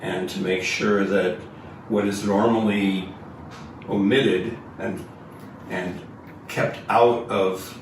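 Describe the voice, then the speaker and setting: A man with a deep voice gives a reflective interview answer in short, deliberate phrases with pauses. He takes his time, and the sound is heavily echoey. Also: steady tone. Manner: deliberate, pausing between phrases. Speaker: archive co-founder, organizer, interview guest. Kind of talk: reflective explanatory interview talk. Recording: very echoey room, distant mic, faint noise.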